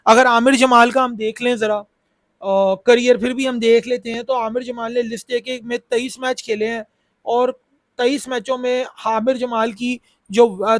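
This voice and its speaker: A man's voice: very high-pitched, no room sound. A man with a sore throat, chatting about cricket in a casual, repetitive way with many fillers. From Pakistan. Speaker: cricket YouTuber. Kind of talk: casual cricket analysis talk.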